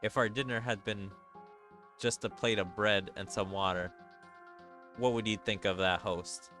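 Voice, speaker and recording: A man's voice: fairly low pitch, steady tone, a little slow, bright, forceful tone, soft background music. A man voicing a commanding, teasing male demon lord in an anime game. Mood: haughty.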